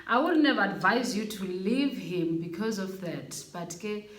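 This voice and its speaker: Lively South African woman host. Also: medium pitch, a little slow. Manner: lively, laughs often, code-switches into Xhosa. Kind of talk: casual chat, reading out viewer stories. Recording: echoey room, faint background noise.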